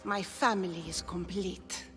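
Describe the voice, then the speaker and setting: A woman's voice: on the low side, steady tone, a little slow, fairly bright tone. A woman voicing a sadistic, gloating cult priestess in a theatrical, menacing manner for a video game cutscene. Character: sadistic, gloating cult priestess who claims stolen children. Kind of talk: dramatic game cutscene acting. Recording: long reverb, music behind.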